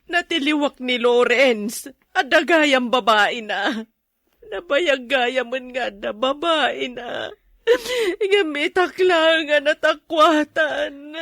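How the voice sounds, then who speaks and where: A woman's voice: high-pitched, slow, a bit bright, dry recording, heavily acted. A woman playing a heartbroken, apologetic Filipino wife confiding in a friend in a radio drama. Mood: tearful, hurt.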